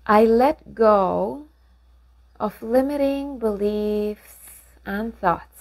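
A woman guiding a meditation, calm and unhurried, giving gentle instructions with long pauses. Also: fairly high pitch. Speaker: meditation guide. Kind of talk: guided meditation narration.

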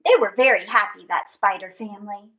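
A middle-aged woman hosting a children's story time, reading and chatting in an animated, theatrical way. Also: mid-range voice, a little fast, lo-fi sound, slight background noise. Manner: animated, theatrical, with dramatic gasps and stretched words. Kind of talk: expressive picture-book read-aloud and chat to children. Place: small indoor space with draped fabric backdrop.

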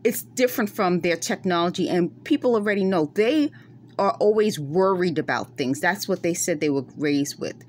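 A woman's voice: lowish voice, expressive, moderate pace, darkish tone, slight background noise. A middle-aged woman from the Bronx with a New York accent tinged by West Indian roots, talking earnestly into her phone in a dim room at night. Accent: Bronx New York with West Indian traces. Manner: earnest, insistent, explains in circles. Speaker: vlogger. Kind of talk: casual monologue to camera. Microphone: phone camera close up.

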